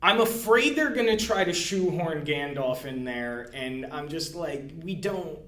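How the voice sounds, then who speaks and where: A man's voice: a little high, lively intonation, unhurried, a bit bright. Man chatting in a roomy, echoey space. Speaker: podcast co-host. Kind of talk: casual podcast discussion. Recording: roomy, echoey indoor sound.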